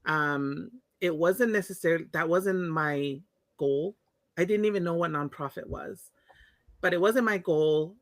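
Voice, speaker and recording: A woman's voice: on the low side, a little slow, no echo. Woman from Tonga, interview guest, candid storytelling, restarts sentences, dry sound. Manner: reflective, frequent restarts and self-corrections.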